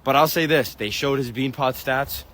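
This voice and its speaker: A man's voice: medium pitch, moderate pace, fairly bright tone, a little hiss or hum. A man, a guest on a sports podcast, making firm, emphatic calls in casual chat. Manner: emphatic, declarative predictions.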